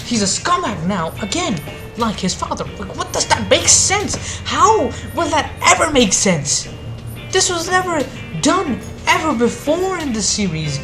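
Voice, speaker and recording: A woman giving an unscripted, opinionated anime review rant in an exasperated, halting, sweary manner. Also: high voice, sing-song, music in the background.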